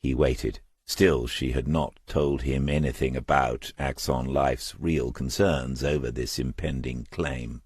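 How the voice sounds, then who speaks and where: A man's voice: very deep voice, fairly even intonation, unhurried, few pauses, darkish tone, slightly rough, dry recording. A man reading crime fiction as a scripted audiobook narrator, close to the microphone.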